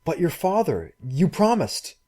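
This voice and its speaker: A man voicing a frail, fanatic young woman who talks in a halting, wailing way. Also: a little high, very animated, mellow, pitched above the performer's own voice. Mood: eager.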